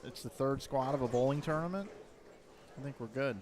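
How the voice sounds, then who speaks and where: An easygoing man hosts a casual bowling stream in an even, mid-range voice, agreeable and matter-of-fact. Steady noise from the bowling alley runs under his words. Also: medium pitch, brisk. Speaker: stream commentator. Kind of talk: casual commentary chat.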